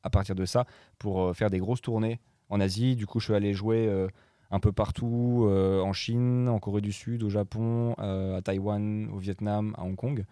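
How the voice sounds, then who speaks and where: Relaxed young man, fillers. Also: fairly low pitch, moderate pace, no echo, studio quality. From Morocco. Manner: hesitant, many fillers and restarts. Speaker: guest DJ and producer. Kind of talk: casual chat.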